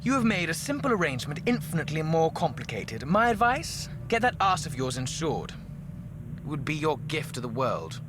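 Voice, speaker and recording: Sardonic, haughty ancient aristocrat over noise. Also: male voice, on the high side, very animated, normal speed. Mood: disdainful, teasing. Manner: arch, witty, disdainful. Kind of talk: theatrical video game dialogue.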